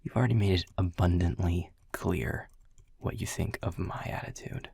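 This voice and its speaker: A man's voice: deep voice, moderate pace, warm tone, exaggerated voice. A man playing a world-weary, prickly male middle manager in a second-person audio roleplay, blunt and sarcastic, swearing often. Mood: irritated. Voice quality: gravelly, husky.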